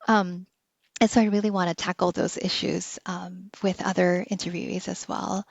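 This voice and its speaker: A woman's voice: lowish voice, little pitch movement, normal speed, vocal fry, dry recording. A woman hosting a podcast, talking in a casual, reflective monologue. She speaks earnestly, with frequent "um"s and small laughs. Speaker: podcast host. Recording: dull, slightly lo-fi dry recording.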